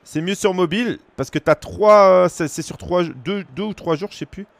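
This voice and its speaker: A man in his thirties from France, streaming a football game and chatting casually with viewers, breaking into shouts at big moments. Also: sits high, normal speed, vocal fry, no room sound. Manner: excitable, shouts at goals, chats casually with viewers. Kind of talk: live gaming commentary to chat. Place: small room at home.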